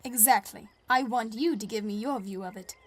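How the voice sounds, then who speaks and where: A woman's voice: fairly high pitch, normal speed, close to an everyday voice. An actress playing a polite, inquisitive newspaper reporter in an audio drama, formal and articulate as she narrates and questions.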